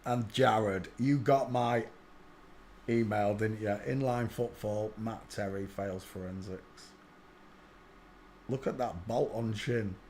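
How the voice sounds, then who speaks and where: A man hosting his own channel, giving brash, scoffing commentary over video clips, with quick asides. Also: on the low side, steady tone, a little mellow, slight background noise.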